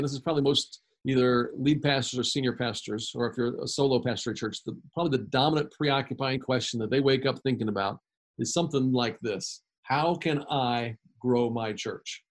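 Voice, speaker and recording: A man as a guest author, answering in an emphatic, preacherly way and repeating key phrases. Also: mid-range voice.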